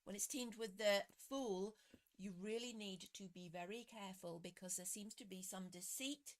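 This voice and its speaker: Live tarot host, woman, chatty and a bit croaky, greets viewers by name. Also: medium pitch, normal speed. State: slightly croaky voice. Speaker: tarot reader hosting a live stream. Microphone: computer webcam setup.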